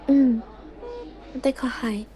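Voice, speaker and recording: A woman's voice: a little high, steady tone, takes it slowly, dark tone. A woman playing a heartbroken, devoted teenage schoolgirl in an audio drama, with pleading, emotional delivery. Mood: weak, dazed. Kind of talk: Cantonese audio drama acting.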